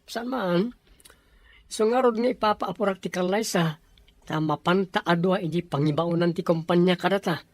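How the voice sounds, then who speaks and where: A woman's voice: lowish voice, moderate pace, darkish tone, no room sound, close to an everyday voice. A woman voicing a friendly young male office worker in an audio drama, casual and matter-of-fact. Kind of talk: Ilocano radio-style drama acting.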